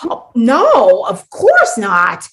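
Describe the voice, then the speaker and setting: Woman acting a bewildered, exasperated woman, high and swooping. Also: wildly swooping pitch, drawn-out words, a bit bright, exaggerated voice. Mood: confused. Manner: sarcastic, indignant, dramatic. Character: bewildered, exasperated woman holiday mascot trapped in a sitcom. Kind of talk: comedic radio play acting.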